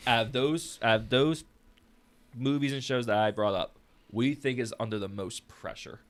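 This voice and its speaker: A man's voice: mid-range voice, moderate pace. A man hosting a podcast in a casual group discussion, speaking informally to his co-hosts. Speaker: podcast host.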